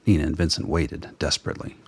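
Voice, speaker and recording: Deep voiced guy narrating a radio play, rough husky tone. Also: flat delivery, fast, no echo.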